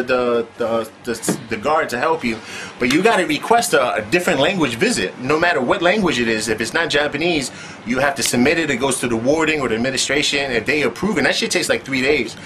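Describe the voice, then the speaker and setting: A man's voice: medium pitch, fairly bright tone, slight background noise. A man telling his own story in casual, profane street slang, with "man" tossed in constantly. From United States.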